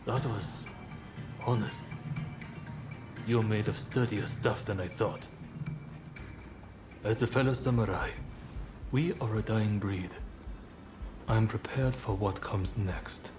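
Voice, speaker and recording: Slow, solemn, low-voiced samurai. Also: male voice, over music. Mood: earnest, grave. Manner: measured, formal, earnest. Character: solemn, honorable samurai man, loyal and resigned. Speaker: voice actor in a video game. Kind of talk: dramatic game cutscene acting.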